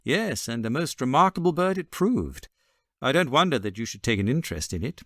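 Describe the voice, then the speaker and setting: A knowing British gentleman detective, acted by a male reader in a classic audiobook. He speaks smoothly and with assurance, his pitch moving freely, recorded dry and close. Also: very animated, a little fast, no echo. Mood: cheery, teasing.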